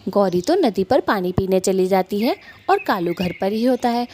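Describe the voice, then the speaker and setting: A woman narrating a cartoon story in a plain, steady way. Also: mid-range voice, normal speed, a little hiss or hum.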